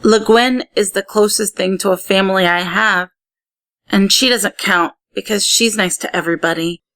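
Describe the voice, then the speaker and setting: A woman's voice: medium pitch, normal speed, fairly bright tone, dry recording, lightly acted. A lonely, reserved young sea girl from a children's storybook, played by a woman reading aloud. She talks soberly and matter-of-factly, keeping to herself.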